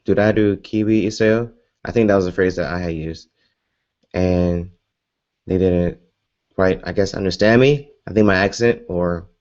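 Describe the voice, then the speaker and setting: A man's voice: deep voice, a little mellow, dull audio. A young American man chatting casually in a live Q&A vlog, rambling with many fillers and restarts. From United States. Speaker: vlogger.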